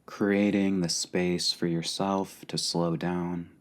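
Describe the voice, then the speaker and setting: A man leading a guided meditation calmly and deliberately, pausing between short phrases. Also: low voice. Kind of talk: guided meditation, slow and spacious.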